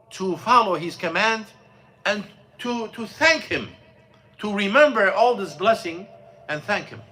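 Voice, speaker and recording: Bright, high-voiced man teaching a mosque class. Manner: halting, searching for words, many fillers; non-native English grammar. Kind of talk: informal mosque lesson explaining scripture.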